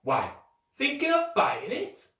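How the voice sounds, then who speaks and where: Impatient storybook postman, acted by a man, sarcastic, raised exclaiming pitch, echoey room. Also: wildly swooping pitch, takes it slowly, bright, forceful tone, heavily acted. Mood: irritated, sarcastic. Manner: scornful, emphatic. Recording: roomy echo, distant microphone.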